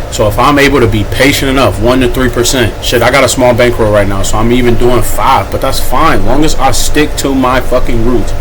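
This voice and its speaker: A man's voice: medium pitch, noisy background. A man in his late twenties talking casually to camera in a personal vlog, swearing freely, pushing himself on, and tagging his sentences with "right?". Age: 20s. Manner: casual, profane, self-motivating, repeats "right?". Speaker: vlogger. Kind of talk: candid personal vlog monologue.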